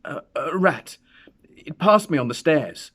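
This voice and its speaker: A man voicing a terrified old husband in a horror story reading, with shaking, hoarse tones. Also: on the high side, big pitch swings, a little slow, fairly bright tone, voice pushed up, exaggerated voice. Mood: shaken.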